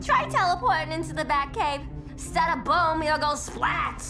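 A mischievous, chirpy young antiheroine for a game. The voice is high and playful with a cheeky edge, and music runs behind it. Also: female voice, expressive, moderate pace. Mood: teasing. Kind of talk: video game cutscene acting.

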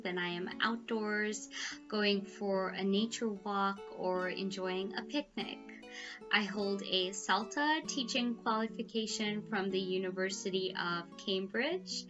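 Young woman tutor intro, talks slow and smiley, headset mic, faint guitar behind. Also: mid-range voice. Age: young adult. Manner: cheerful, smiling, measured delivery. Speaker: online English tutor. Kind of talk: self-introduction video. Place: small room at home, indoors. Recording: slightly dull lo-fi audio with faint guitar music.

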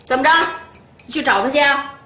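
A domineering granny. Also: female voice, high voice, fast, echoey room, slight background noise. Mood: taunting. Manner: nagging, scolding, sharp tongue. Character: harsh scolding older grandmother, domineering. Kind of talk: TV drama acting.

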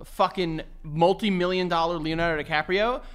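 A man's voice: sits high, normal speed, fairly bright tone, smooth. A man in his thirties co-hosting a podcast, talking casually and riffing with lots of swearing. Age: 30s. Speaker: podcast co-host. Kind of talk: casual chat.

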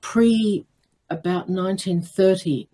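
Older female panelist from Australia, low, mellow and husky. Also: slow. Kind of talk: video call panel discussion. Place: home room with bookshelves.

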